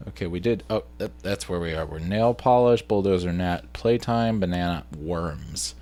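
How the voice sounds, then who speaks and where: A man's voice: low-pitched, moderate pace, dark tone. A man narrating a casual screen-share vlog, informally thinking aloud as he works through tasks on the computer. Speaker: vlogger and video editor.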